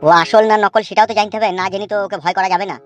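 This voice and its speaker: A woman doing a very fast-talking worried cartoon village lad. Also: mid-range voice, fairly even intonation, faint music behind, lightly acted. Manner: slangy, blunt. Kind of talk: Bangla comedy cartoon dialogue.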